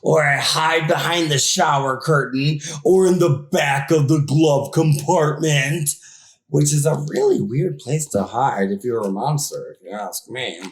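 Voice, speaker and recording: A man's voice: on the high side, a little slow. A man voicing a whiny, indignant monster with good manners, in a theatrical children's read-aloud style. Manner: theatrical, exaggerated complaining. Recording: echoey room.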